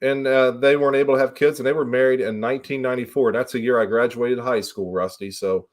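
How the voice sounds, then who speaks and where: A man's voice: mid-range voice, fairly even intonation, quick pace. A man in his forties hosting a casual chat, telling stories in a plainspoken, folksy way. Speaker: livestream host.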